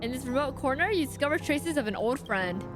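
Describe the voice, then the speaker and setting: Female game streamer: slightly high, animated pitch, casual exclamatory chat over game music. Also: fairly quick. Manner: playful, exclamatory. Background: video game music.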